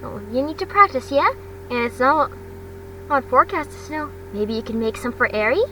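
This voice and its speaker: A woman voicing a bouncy, excitable teenage girl classmate in a story, eager and encouraging. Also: sits high, normal speed. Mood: excited. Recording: noisy home recording, distant mic.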